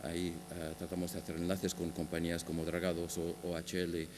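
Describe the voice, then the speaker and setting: A man giving a conference talk on a panel, speaking haltingly with many filler sounds and restarts. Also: low-pitched, completely flat delivery, music in the background. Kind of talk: conference presentation. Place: large conference hall. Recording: long hall reverb with faint hum.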